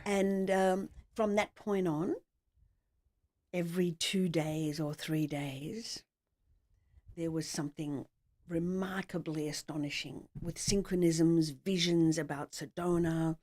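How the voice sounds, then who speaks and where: A woman's voice: fairly low pitch, fairly even intonation, slow, darkish tone. A middle-aged woman with an Australian accent, telling her story casually and in a rambling way as a podcast guest.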